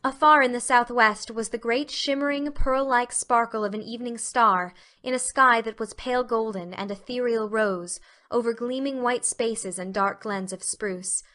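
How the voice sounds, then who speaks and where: A woman's voice: fairly high pitch, normal speed, bright, forceful tone. A woman reading scripted literary narration as the narrator of an audiobook.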